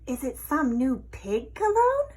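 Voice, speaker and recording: A woman's voice: high voice, wildly swooping pitch, slow pace, darkish tone, voice pushed up, exaggerated voice. A woman voicing a young lady cockroach in a storybook, cool, witty and dryly polite. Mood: wry. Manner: dry, coolly polite, mock-sweet. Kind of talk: storybook character voice.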